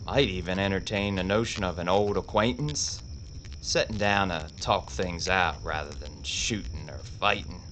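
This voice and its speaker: A man playing a cool, deep-voiced outlaw. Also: a little hiss or hum. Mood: calm. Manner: slow, quiet, unhurried warnings. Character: calm, deadly male outlaw gunfighter. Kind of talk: audio drama acting.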